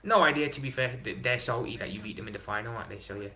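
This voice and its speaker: Excitable young man. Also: medium pitch, racing, slight background noise. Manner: excitable, swears, London slang like innit and big up. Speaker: livestream host reading chat. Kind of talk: casual live-stream chat.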